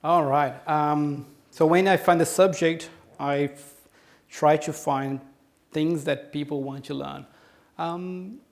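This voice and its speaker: A man's voice: a little high, steady tone, slow, vocal fry. A man in his thirties with a Brazilian accent, answering audience questions after his conference talk, with frequent ums and restarts. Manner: hesitant, many restarts and ums. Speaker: conference speaker. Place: conference room.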